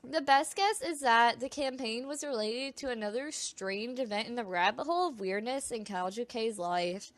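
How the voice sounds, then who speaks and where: Woman, high-pitched voice, dry recording, informal scripted storytelling, slightly stumbling delivery, self-conscious. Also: moderate pace, hardly any pauses, no room sound. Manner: stumbles over words, self-conscious asides. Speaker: video narrator. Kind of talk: informal scripted narration of creepy true stories.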